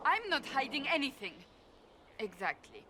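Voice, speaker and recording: A woman's voice: high-pitched, very animated, normal speed, pushed and bright, a little hiss or hum, heavily acted. Earnest, proud woman warrior. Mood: flustered, defensive. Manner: earnest, emphatic, formal. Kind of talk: video game cutscene acting.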